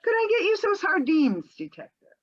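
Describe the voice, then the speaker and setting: A woman's voice: extremely high pitch, huge pitch swings, normal speed, dull audio, exaggerated voice. A woman playing a fluttery, evasive, genteel housewife in a comic stage scene, over-polite and theatrical. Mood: breezy, evasive.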